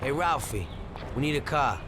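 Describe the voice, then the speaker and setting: A man's voice: medium pitch, lively intonation, moderate pace, noisy background, lightly acted. An actor playing a brash male gangster who talks bluntly in a video game cutscene. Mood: confident. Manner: blunt, street-talking.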